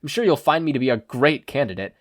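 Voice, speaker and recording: A man's voice: mid-range voice, lively intonation, fast talker, dry recording, clean studio sound, close to an everyday voice. A man voicing a nervous, polite teenage boy with an awkward, hesitant manner in a fan fiction audio reading. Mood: eager, nervous. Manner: hesitant, earnest.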